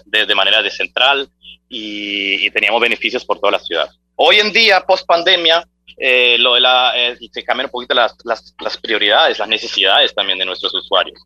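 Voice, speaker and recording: Guest: man, startup founder, bright tense voice, dry sound, lively and chatty. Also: fairly low pitch, dry recording, poor audio. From Germany. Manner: friendly, animated, many fillers and restarts. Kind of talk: radio interview, casual conversational answers.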